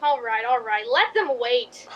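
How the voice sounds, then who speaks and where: An actress playing an imperious, short-tempered film star with a haughty, snappish manner in a radio drama. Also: female voice, fairly high pitch, animated, a little slow, highs cut off, slight background noise. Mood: angry, scornful. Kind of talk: theatrical radio drama acting.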